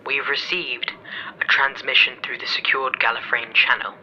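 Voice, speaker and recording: A man's voice: medium pitch, flat delivery, normal speed. An actor playing a formal, urgent Grand Councilman through a radio filter in a sci-fi audio drama. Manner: formal, official. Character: urgent, formal male Grand Councilman reporting to his president. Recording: dull, noisy radio-like transmission.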